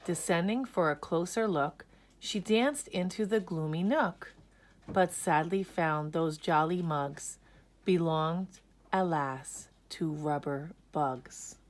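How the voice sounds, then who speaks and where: A woman reads a children's picture book aloud at a very unhurried pace. Her voice sits a little low, and she tells the rhymed story in an expressive, measured way.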